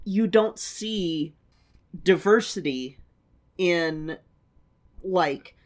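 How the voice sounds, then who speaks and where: A woman's voice: lowish voice, very slow. A woman co-hosting a podcast in casual chat, gushing and swearing as she reacts. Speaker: podcast co-host.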